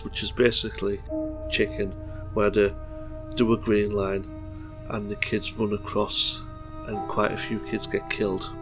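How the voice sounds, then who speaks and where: A man hosting a film review, talking plainly and informally in halting phrases, part scripted. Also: on the low side. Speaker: vlog host. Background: music.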